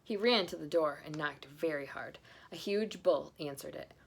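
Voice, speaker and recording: A woman's voice: low-pitched, moderate pace, vocal fry, slight background noise. A woman reading a children's story aloud, with playful asides. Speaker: storybook reader.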